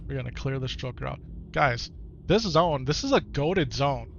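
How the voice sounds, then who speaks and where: A man's voice: mid-range voice, expressive, moderate pace, creaky, highs cut off, a little hiss or hum. A man with an Upper Midwest American accent, chatting casually to his viewers during a live game stream and often repeating himself. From Wisconsin, United States. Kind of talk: casual live-stream chat over gameplay.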